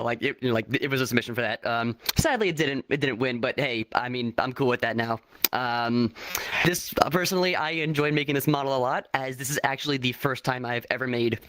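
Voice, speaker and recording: A man with a slightly raspy voice from a recent infection, presenting his avatar in an upbeat, casual way. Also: mid-range voice, a bit bright, slight background noise.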